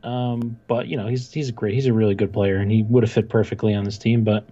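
A man's voice: fairly low pitch, fairly even intonation, quick pace, mellow. Man co-hosting, slightly dull audio. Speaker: sports podcast co-host. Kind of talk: casual chat.

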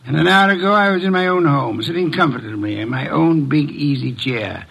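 A self-important, indolent master detective of vintage radio mystery. His voice sits fairly high for a man, with a strong creak. He picks formal words and delivers them with fussy, unflappable deliberation. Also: a bit bright, full character voice, rough recording. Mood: dry, superior. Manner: pompous, formal, deliberate phrasing. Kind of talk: 1950s radio detective drama acting.

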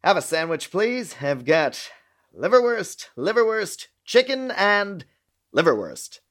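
A man's voice: sits high, big pitch swings, slow pace, fairly bright tone, heavily acted. An actor playing a fussy, dry middle-aged American intelligence official in a radio play, chatty and officious in his talk. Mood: fussy, affable. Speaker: actor in audio drama.

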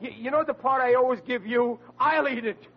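A bumbling, anxious family man from classic radio comedy. The voice is notably high, with stammers and rough-and-ready diction. The sound is muffled and old. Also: brisk. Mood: desperate pleading. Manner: stammering, informal, slangy diction. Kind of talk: 1940s radio comedy acting. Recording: dull old radio recording.

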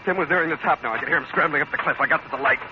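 An American actor playing a tough sea captain in a 1940s radio adventure, speaking in a clipped, dramatic, hard-boiled way. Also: male voice, fairly high pitch, very fast, natural voice. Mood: tense. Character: tough male sea captain of a sailing ketch. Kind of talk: 1940s radio adventure acting, first-person narration. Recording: muffled, very noisy old radio recording.

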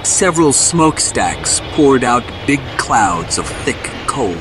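A man's voice: mid-range voice, big pitch swings, slow, noisy background. A man narrating a scripted superhero story in a suspenseful, dramatic storybook style.

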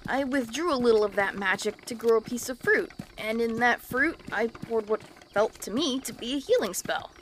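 Woman playing a small, high-voiced plant creature. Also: moderate pace, slight background noise, lightly acted, rough recording. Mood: earnest. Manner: defensive, earnest. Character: small earnest plant creature with magic, easily offended. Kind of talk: fantasy comedy audio drama acting.

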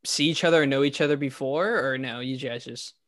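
A man's voice: medium pitch, brisk, a bit bright. A man hosting a casual interview, asking friendly questions with frequent short affirmations. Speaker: interview host.

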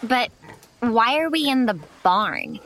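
A woman's voice: fairly high pitch, wildly swooping pitch, takes it slowly, fairly bright tone, slight background noise, exaggerated voice, rough recording. An actress playing an anxious, curious young country girl in an audio drama. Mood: puzzled.

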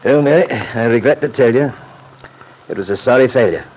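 An actor playing a plainspoken, opinionated middle-aged Scottish country lawyer in an old-time radio drama, folksy and firm. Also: male voice, medium pitch, expressive, fairly quick, darkish tone, natural voice. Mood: firm, defensive. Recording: noisy vintage radio recording.

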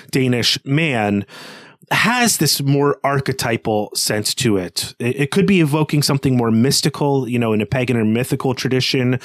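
A man's voice: mid-range voice, dry recording. Musing man with a dry, close sound. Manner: tentative, musing, thinking aloud. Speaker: podcast co-host. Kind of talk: casual literary analysis discussion.

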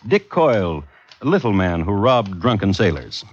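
An actor playing a wry, genteel crime connoisseur, narrating with dry, measured delivery as a radio host. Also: male voice, deep voice, sing-song, husky, full character voice. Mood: deadpan, wry. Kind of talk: 1950s radio scripted narration. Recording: muffled old radio recording, music behind.

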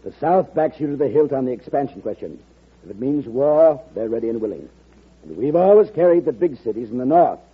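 An actor in an old-time radio drama playing a terse, scheming party boss with a very husky, measured voice. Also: male voice, mid-range voice, lively intonation. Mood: assured. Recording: muffled old radio broadcast recording.

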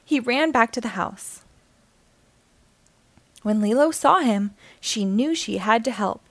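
A woman's voice: a little high, animated, slow pace, no room sound. A woman reading a children's story aloud as scripted narration.

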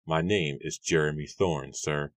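A man's voice: a bass voice, steady tone, measured pace, no echo. Man voicing a polite, cheerful young farmhand. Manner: polite, eager.

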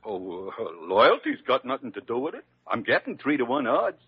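A fumbling, cheeky hospital orderly. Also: male voice, mid-range voice, wildly swooping pitch, very noisy. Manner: halting, stumbling. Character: fumbling male hospital orderly, cheeky small-time gambler. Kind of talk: 1950s radio drama acting. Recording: dull old radio broadcast recording.

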